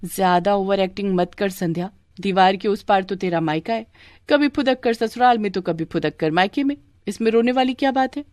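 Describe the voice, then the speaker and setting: Cartoon sensible young bhabhi, played by a woman, medium pitch, gently wry, dry sound. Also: fairly quick, dry recording, lightly acted. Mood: teasing. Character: sensible, teasing young sister-in-law.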